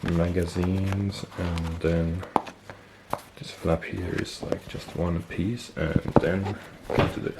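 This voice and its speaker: A man with a stuffy nose, casually explaining military gear on camera, relaxed and thinking aloud with fillers and self-corrections. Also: low voice, slow pace, very noisy. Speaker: military gear collector and video host. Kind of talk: casual show-and-tell explanation.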